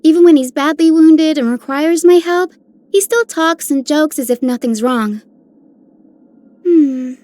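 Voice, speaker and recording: A woman playing a gentle, caring young healer in a video game, sweet and polite. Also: sits high, measured pace, dry recording.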